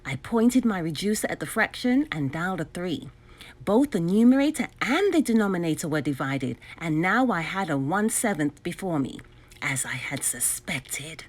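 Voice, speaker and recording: A woman reading a children's storybook aloud expressively, enunciating clearly with dramatic emphasis. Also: fairly low pitch, sing-song, normal speed. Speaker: storybook reader.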